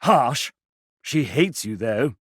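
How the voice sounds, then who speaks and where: A man's voice: medium pitch, lively intonation, slow, husky, no echo, clean studio sound. An actor playing a sassy, theatrical male guide, narrating a video game in an arch, chatty way. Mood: dryly disapproving.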